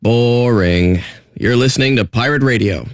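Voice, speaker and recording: An actor playing a surly, sarcastic radio DJ in a video game, with deadpan, contemptuous patter. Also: male voice, medium pitch, moderate pace, no echo, clean studio sound, natural voice. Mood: sarcastic, irritated.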